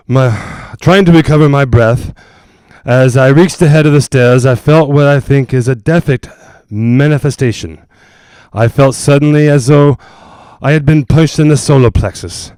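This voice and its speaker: A man playing a solemn, earnest psychic ghost hunter, formal and halting, in a 1940s-style radio drama. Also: mid-range voice, a little mellow, clean tone, dry recording, natural voice. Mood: strained, grave. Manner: formal, measured, halting, grave.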